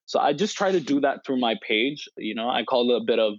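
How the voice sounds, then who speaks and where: A man's voice: medium pitch, lively intonation, a little fast, no room sound, lo-fi sound. A man in his twenties, a guest on a podcast, talking openly and reflectively with many "you know" fillers. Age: 20s. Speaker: podcast guest, poet and influencer. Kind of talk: casual interview chat.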